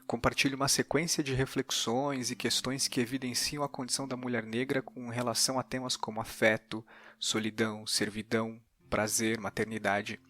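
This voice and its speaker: A man's voice: mid-range voice, level tone, moderate pace, few pauses, a bit bright. A man with a Brazilian accent, a podcast host speaking reflectively and thoughtfully as he narrates and interviews.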